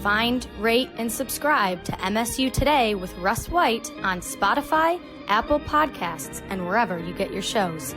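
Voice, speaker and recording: Female announcer for scripted podcast outro, higher-pitched voice over clear music bed. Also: expressive, unhurried, hardly any pauses. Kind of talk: scripted promotional read.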